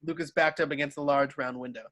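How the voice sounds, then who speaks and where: A man's voice: on the high side, steady tone, fast, fairly bright tone, no room sound, clean studio sound. A man narrating scripted stage directions for a table read.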